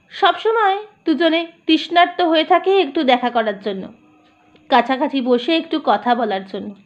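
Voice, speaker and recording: High-voiced woman narrating a story. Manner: measured, gently expressive reading. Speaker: audiobook narrator. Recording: dull lo-fi recording.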